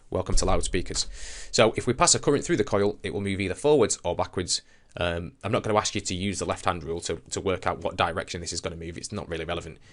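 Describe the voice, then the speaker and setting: A man with a low voice gives an informal science lesson. He explains things conversationally, as a teacher does when thinking aloud through a demonstration.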